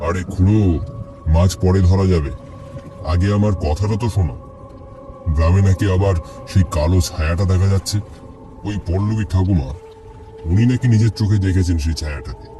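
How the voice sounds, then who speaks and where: A man's voice: sits low, a little fast, long pauses, music in the background, natural voice. A man playing a young village fisherman in colloquial, coaxing cartoon horror dialogue. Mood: urging. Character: young village fisherman, eager gossip, dismissive of worry. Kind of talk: animated horror story dialogue.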